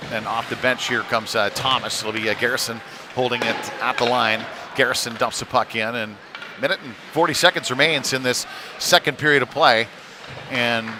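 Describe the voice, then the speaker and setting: Man calling hockey over rink noise. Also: mid-range voice. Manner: halting, pausing mid-phrase. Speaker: play-by-play hockey commentator. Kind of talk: live sports commentary. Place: indoor ice rink. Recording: noisy arena, live stream.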